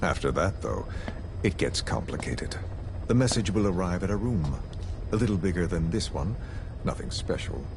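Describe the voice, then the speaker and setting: Game villain: cold, calculating interrogator, very deep, highly expressive delivery, menacing courtesy. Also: male voice, noisy background. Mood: calm menace. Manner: measured, menacing, falsely polite, theatrical. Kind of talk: dramatic video game voice acting.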